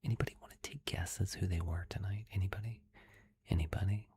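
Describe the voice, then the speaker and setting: A livestream host chatting in a soft, giggly ASMR whisper, thanking viewers. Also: deep voice, fairly even intonation, fast, breathy edge.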